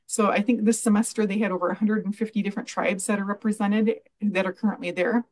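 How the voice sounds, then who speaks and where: A middle-aged woman answering interview questions over a video call from a room at home, speaking in an earnest, measured way with many "you know"s. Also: medium pitch, fairly even intonation. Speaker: guest, library association president. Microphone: video call webcam.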